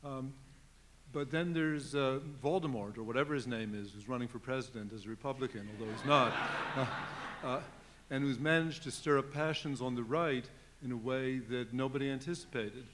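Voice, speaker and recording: A man's voice: medium pitch, normal speed, a bit bright, slight background noise. A man speaking on a legal panel, rambling and joking, with lots of filler sounds. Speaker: conference panelist. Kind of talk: panel discussion.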